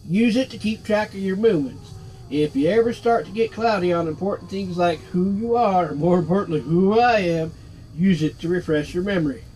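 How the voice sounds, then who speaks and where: A man's voice: high-pitched, fairly quick, a little mellow, a little hiss or hum, natural voice, poor audio. A man voicing a sardonic, wisecracking floating skull in a cheeky, sarcastic manner, reading game dialogue aloud. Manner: cheeky, sarcastic, street-talking.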